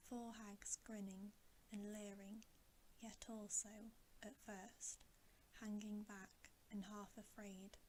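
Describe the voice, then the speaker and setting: A woman whispering a bedtime story in a hushed, gentle, deliberate ASMR reading. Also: mid-range voice, slight background noise, poor audio. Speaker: ASMR reader.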